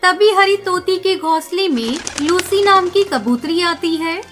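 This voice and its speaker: Female narrator for children's moral tales, extremely high voice with soft music behind. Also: animated, unhurried. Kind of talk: scripted children's story narration.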